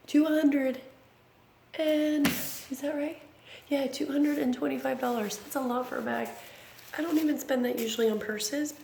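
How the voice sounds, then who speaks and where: Thirties woman vlogger, hoarse and raspy, loose chatty delivery full of fillers; roomy echo with steady noise behind. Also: medium pitch. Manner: rambling, frequent ums and self-corrections. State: raspy from bronchitis. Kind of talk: casual unboxing chat. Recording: echoey room with background noise.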